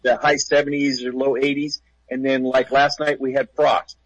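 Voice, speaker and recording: A man co-hosting a music radio chat comes through a dry, dull remote line. His voice is husky, and he mostly answers in short, easygoing replies. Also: medium pitch, level tone, moderate pace, dry recording. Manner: brief, agreeable replies. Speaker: radio co-host.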